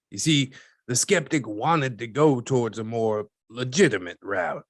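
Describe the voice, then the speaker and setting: A man playing a skeptical, folksy newspaperman as a podcast guest, speaking in a measured, wry and slightly condescending way. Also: fairly low pitch, fairly bright tone, no echo, clean studio sound. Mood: measured, dismissive. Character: skeptical, folksy, wryly dismissive male newspaperman. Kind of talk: scripted podcast interview drama.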